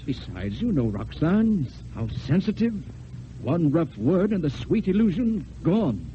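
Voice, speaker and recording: An actor playing an insecure young soldier in love, earnest and halting, in old-time radio drama style. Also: male voice, a little high, big pitch swings. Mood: anxious, hesitant. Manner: halting, self-deprecating. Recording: dull lo-fi old radio, faint hiss.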